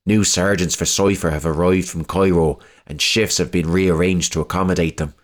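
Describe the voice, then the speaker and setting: An actor playing a weary junior serviceman, reading from a wartime diary in a measured, matter-of-fact way. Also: deep voice, fairly even intonation, close to an everyday voice.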